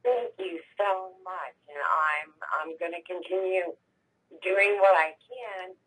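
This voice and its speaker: A woman calling in to a radio show, with a congested, husky voice, talking in a halting, emotional way. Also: fairly low pitch, a bit bright. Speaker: caller. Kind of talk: call-in radio conversation. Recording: phone line.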